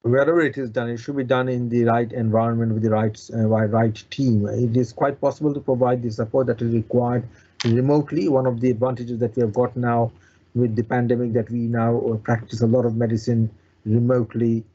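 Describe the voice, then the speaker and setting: A man from Bangladesh speaking as a surgeon being interviewed, explaining in a halting way with repeated words and restarts. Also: medium pitch, flat delivery, dark tone, lo-fi sound. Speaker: consultant bariatric surgeon, interview guest.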